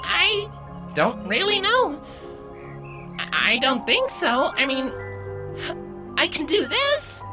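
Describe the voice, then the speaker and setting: A woman's voice: sits high, wildly swooping pitch, slow pace, long pauses, over music, full character voice. A woman voicing a shy, bookish young changeling princess filly in a fan fiction reading, with a hesitant, earnest manner. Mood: hesitant, awkward.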